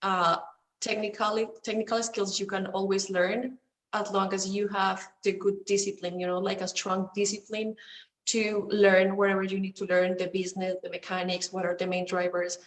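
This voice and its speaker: A woman's voice: medium pitch, little pitch movement, moderate pace, roomy sound. A woman speaking as a finance panelist on a video call, giving firm advice in short emphatic statements.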